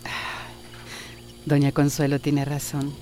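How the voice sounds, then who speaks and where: An actress playing a gentle, affectionate wife with a Central American accent, in a radio soap opera. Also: female voice, deep voice, monotone, slow pace, a little hiss or hum. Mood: tender, teasing.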